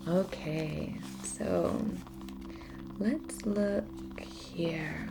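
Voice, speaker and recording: A woman speaking softly as host of a guided spiritual ritual, often slipping into a near-whisper, in a meandering, casual chat. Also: on the low side, drawn-out words, background noise. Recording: music clearly under the voice. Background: music.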